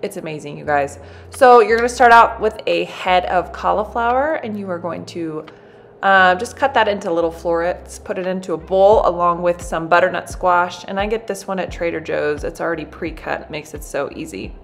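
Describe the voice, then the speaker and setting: A woman in her thirties, a cooking channel host, chatting brightly through a recipe in a home kitchen. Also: lowish voice, normal speed, creaky, a little hiss or hum. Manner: bubbly, chatty, addresses viewers as "you guys". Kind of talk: recipe demonstration, voice-over and to camera.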